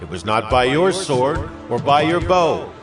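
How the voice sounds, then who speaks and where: Solemn aged leader, music behind. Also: male voice, medium pitch, sing-song, a little slow, distant mic. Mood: proclaiming. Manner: solemn, declamatory, emphatic. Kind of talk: dramatized scripture oratory.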